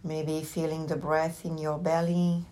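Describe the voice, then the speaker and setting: A woman teacher leading a guided meditation with gentle, unhurried instructions and long pauses. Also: deep voice, little pitch movement, low-quality recording.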